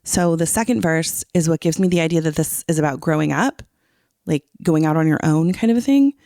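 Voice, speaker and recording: Podcast co-host, mid pitch, fry in the voice, casual explainer who seeks confirmation, dry recording. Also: animated, a little fast, darkish tone, no room sound. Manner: often checks that the listener follows. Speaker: teacher. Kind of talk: casual podcast chat, explaining song lyrics.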